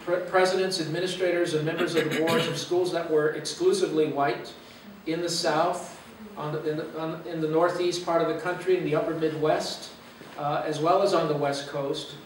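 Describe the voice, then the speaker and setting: A man in his fifties from Los Angeles, giving an earnest, reflective talk as a guest speaker with frequent "uh" pauses. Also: fairly high pitch, fairly even intonation, a little hiss or hum. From Los Angeles, USA. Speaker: guest speaker, university president. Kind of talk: personal talk to a church gathering. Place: meeting room or church hall. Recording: echoey room, mic far away.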